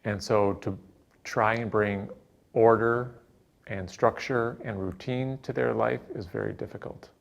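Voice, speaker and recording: A man explaining his work with young people in a measured interview style. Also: on the low side, fairly even intonation, creaky, dull audio. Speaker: youth nonprofit worker.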